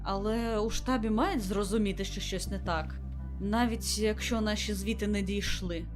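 A woman playing a composed space station commander in a visual novel game, logging mission reports in a formal, measured way. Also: mid-range voice, lightly acted. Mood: resolute, concerned. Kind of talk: scripted visual novel voice acting, log narration. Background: music.

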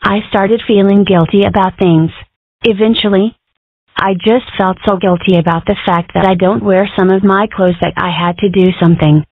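A woman voicing an excitable, guilt-ridden young girl fox in a cartoon comic dub. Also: medium pitch, normal speed, no room sound, natural voice. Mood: anxious. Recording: thin, band-limited, phone-like sound.

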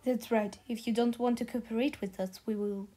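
A woman's voice: a little high, steady tone, normal speed, mellow, voice pushed down, close to an everyday voice. A woman voicing a thuggish, menacing male kidnapper in a manga dub. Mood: threatening.

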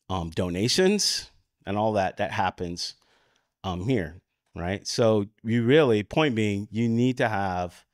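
A man with an American accent giving an informal, explanatory conference talk, halting as he searches for words and often checking in with "right?". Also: fairly low pitch, smooth, dry recording, studio quality. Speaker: conference speaker. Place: conference room at a lectern.

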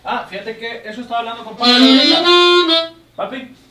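A man in a studio session, pitching melody ideas and humming them out. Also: a little fast. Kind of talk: casual studio banter. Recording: distant, roomy, loud music.

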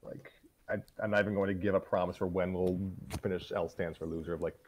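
Low-pitched man in his twenties. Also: fairly even intonation, fairly quick, a little mellow, slight background noise. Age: 20s. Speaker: host of a story-reading voice show. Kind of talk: casual chat, project update.

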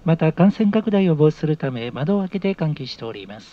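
Higher-voiced man on a dull train PA. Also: lively intonation, a little fast. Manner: formal, polite. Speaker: train announcer. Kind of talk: scripted public notice. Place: train car, over public-address speakers. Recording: dull train public-address sound.

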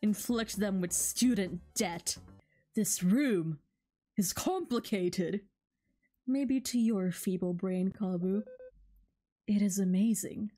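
A woman's voice: mid-range voice, slow, pauses often, mellow, faint music behind, pitched below the performer's own voice. A woman voicing a smug, lordly bug adventurer from a video game, with a pompous, condescending manner.

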